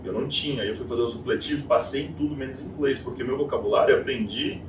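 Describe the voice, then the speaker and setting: A man's voice: medium pitch, fast. Brazilian man telling stories in an echoey room. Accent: Brazilian Portuguese. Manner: animated storytelling, acting out quoted voices. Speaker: guest. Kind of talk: casual interview chat. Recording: echoey room, distant mic, noisy.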